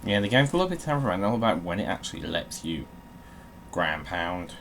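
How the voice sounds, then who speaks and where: A man's voice: deep voice, very animated, fairly quick, fairly bright tone, a little hiss or hum. A man hosting a gaming session with casual, sweary commentary, playfully theatrical and breaking into bits of song. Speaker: gaming show host. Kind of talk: casual game commentary with bursts of singing.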